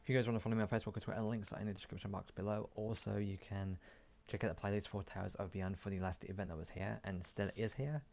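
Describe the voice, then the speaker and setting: A man's voice: lowish voice, steady tone, quick pace, vocal fry, few pauses, darkish tone. A man giving casual, offhand gameplay commentary, muttering and mildly swearing to himself. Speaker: gaming YouTuber.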